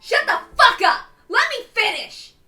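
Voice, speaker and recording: Furious lovestruck teenage girl, acted by a woman, visual novel drama, loud strained shouting, very high pitch, music behind. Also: very animated, unhurried, echoey room, full character voice. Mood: furious, defiant. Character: furious, defiant lovestruck teenage girl, screaming back at her elders.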